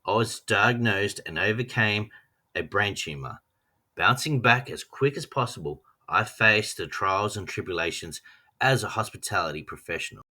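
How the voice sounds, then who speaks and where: An Australian man narrates a prepared personal statement in an earnest, measured read. His voice sits a little low but has a bright, forceful edge. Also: expressive. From Western Australia. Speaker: trainee teacher.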